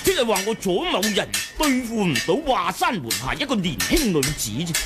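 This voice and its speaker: An actor playing a proud, menacing martial-arts master in a Hong Kong Cantonese radio drama, haughty and mocking. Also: male voice, sits high, big pitch swings. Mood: seething. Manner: haughty, mocking, drawn-out delivery. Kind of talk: 1980s radio drama acting. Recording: 1980 radio drama with music behind.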